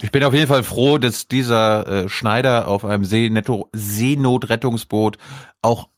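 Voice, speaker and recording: A man's voice: mid-range voice, steady tone, moderate pace, no echo. Earnest male co-host, dry sound. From Berlin. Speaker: podcast co-host. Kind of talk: casual chat.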